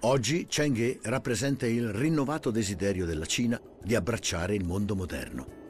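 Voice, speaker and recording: A man's voice: lowish voice, expressive, normal speed, over music. A man reading scripted documentary narration.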